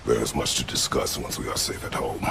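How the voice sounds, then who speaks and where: An actor playing a stern, weary warrior father in a video game, with deep gravelly delivery and terse, grave, commanding speech. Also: brisk, noisy background, close to an everyday voice. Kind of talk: video game cutscene acting. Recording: music behind the voice.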